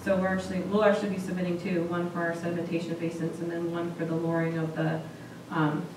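Female meeting speaker, slightly low voice, politely informal, very echoey sound. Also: monotone, fast. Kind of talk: reporting and answering at a meeting. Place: small council chamber, indoors. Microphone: distant camera microphone. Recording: echoey, noisy room from far off.

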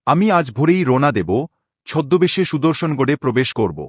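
A man playing a proud, vengeful young king in a cartoon drama, speaking gravely and declaiming with cold resolve. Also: fairly high pitch, expressive, normal speed. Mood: grave, determined. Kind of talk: dramatic Bengali cartoon voice acting.

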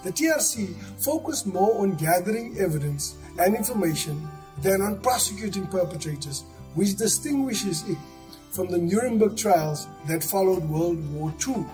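A man giving earnest, steady scripted narration for a church video, recorded on a studio set. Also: high voice, moderate pace, faint music behind, poor audio. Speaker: church member presenting.

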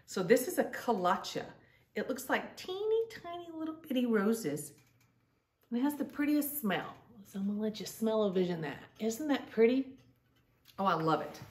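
A woman's voice: on the high side, big pitch swings, moderate pace. A middle-aged woman hosting a children's storytime, reading aloud in a storytelling style at home. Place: indoor room at home. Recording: roomy, distant-mic home recording.

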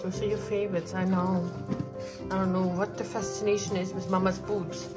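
A woman's voice: mid-range voice, level tone, normal speed, over loud music. A woman talking casually to her dogs at home in doting baby talk, repeating her phrases, recorded on a handheld phone. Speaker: pet owner narrating home video. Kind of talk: casual pet-directed chatter. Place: indoors at home, hallway. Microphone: handheld phone camera, speaker behind it.

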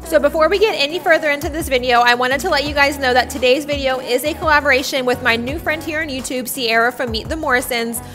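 Upbeat high-voiced young mom vlogger. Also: female voice, fast, hardly any pauses. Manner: upbeat, chatty, friendly. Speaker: cleaning vlogger, stay-at-home mom. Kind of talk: voice-over cleaning narration. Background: faint background music.

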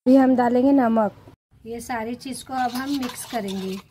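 A woman's voice: fairly high pitch, normal speed. A woman with an Indian accent giving a step-by-step recipe voice-over as a home cooking host. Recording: clearly noisy home recording.

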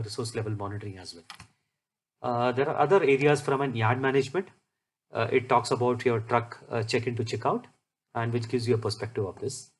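A man presents a business software demo in a voice of average pitch. He explains each screen as a walkthrough voice-over. His delivery is halting, with hesitant pauses between phrases.

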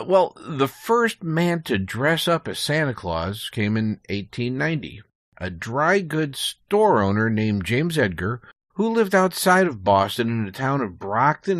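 A man's voice: medium pitch, fairly bright tone, no echo, studio quality. An American man speaking as a podcast guest, telling prepared, informative stories in a conversational way.

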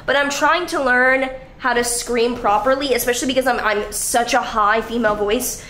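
A young woman in her twenties talks in a high, bright voice, rambling casually with frequent fillers and the odd swear word. She holds the camera at arm's length in her apartment. The room sounds roomy, with a faint hum of ambience behind her. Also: fairly even intonation, normal speed. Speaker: vlogger. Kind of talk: casual vlog chat. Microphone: handheld camera at arm's length.

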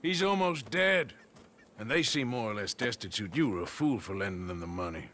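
A gruff American outlaw from a western video game, collecting debts with dry sarcasm and menace. His delivery is forceful and swings widely in pitch over faint outdoor ambience. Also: animated, fairly quick, slight background noise. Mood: dry, sardonic. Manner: gruff, sardonic. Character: menacing, sardonic cowboy outlaw debt collector. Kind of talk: video game cutscene acting.

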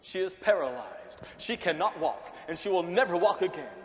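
A man's voice: high voice, very animated, moderate pace, slight background noise. A middle-aged man playing a stern, proud church rector in a stage play, speaking in a pompous, emphatic way. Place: theatre stage. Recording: echoey theatre, picked up live on stage.

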